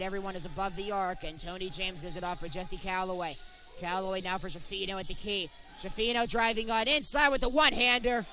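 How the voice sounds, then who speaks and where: High-pitched young male basketball play-by-play announcer. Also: bright, forceful tone. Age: young adult. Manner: narrates action in short clipped present-tense phrases. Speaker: play-by-play sportscaster. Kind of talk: live college basketball play-by-play commentary.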